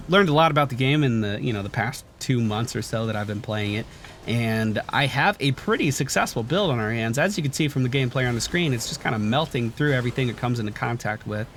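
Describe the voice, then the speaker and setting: A man hosting a gaming channel, explaining a character build over gameplay in a relaxed way with lots of uh and um. His voice is still stuffy as he recovers from losing it. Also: mid-range voice, a little hiss or hum. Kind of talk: gameplay commentary over footage.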